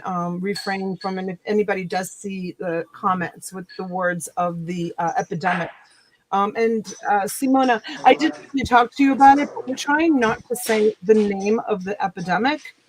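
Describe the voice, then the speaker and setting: A woman's voice: mid-range voice, darkish tone, low-quality recording. A woman, hosting a casual live chat with many fillers and restarts. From Italy. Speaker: livestream host.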